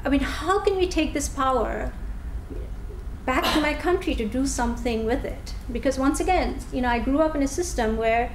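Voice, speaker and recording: Woman, forties, from Bangladesh, chatty storytelling, higher voice, echoey hall. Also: moderate pace, background noise. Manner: chatty, warm storytelling with many restarts and fillers. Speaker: guest speaker. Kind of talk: public talk. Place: lecture hall. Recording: echoey room recording.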